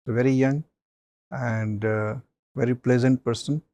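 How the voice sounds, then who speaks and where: A man, a mock interview panelist giving measured advice with many pauses and fillers. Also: mid-range voice, steady tone, warm tone, no echo, dull audio.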